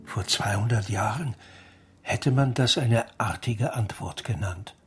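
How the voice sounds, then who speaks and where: An actor playing an educated, courteous doctor under suspicion in a radio crime drama, precise and dryly ironic. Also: male voice, fairly low pitch, unhurried, music in the background, close to an everyday voice. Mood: wry, curious. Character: educated, courteous, wry male doctor, an unemployed suspect.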